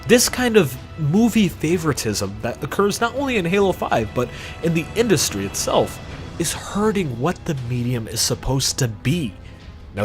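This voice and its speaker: A man narrating a scripted video essay about games in an emphatic, conversational way with sarcastic asides. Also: a little high.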